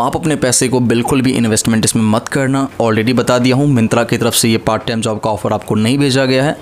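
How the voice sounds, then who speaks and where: A young man speaking casually to camera from a small room, earnestly warning his viewers about an online scam, holding a small mic near his chin. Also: medium pitch. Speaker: cyber-safety awareness YouTuber. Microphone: small handheld mic held near chin.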